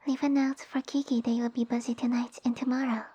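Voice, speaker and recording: A woman voicing a small, sassy female lamia who rules her village, speaking softly and breathily with formal, imperious phrasing in an ASMR roleplay. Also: a little high, little pitch movement, quick pace, no room sound. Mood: commanding, smug. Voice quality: soft, breathy, whispery. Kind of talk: ASMR roleplay audio addressed to the listener.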